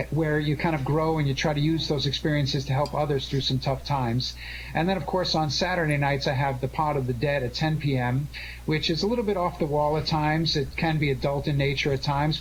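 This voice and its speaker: A man in his forties or older chatting casually and earnestly as a live radio host, halting and full of thanks, over an internet call. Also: on the high side, low-quality recording. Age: 40s or older. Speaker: show host and director. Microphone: Skype-type connection. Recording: internet call with background noise.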